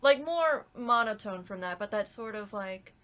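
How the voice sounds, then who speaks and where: A young adult woman, a voice actress guest, chatting casually in an animated, giggly, self-deprecating way. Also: mid-range voice, normal speed. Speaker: voice actress guest on a podcast talkback. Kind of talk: casual chat.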